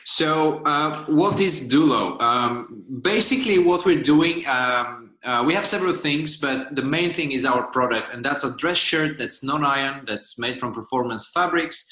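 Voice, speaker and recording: Young bulgarian guy, bright voice, lots of uhs, echoey room. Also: mid-range voice, a little slow, few pauses. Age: 20s. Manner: earnest, hesitant, many "uh" fillers. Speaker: guest, startup founder. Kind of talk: interview answers.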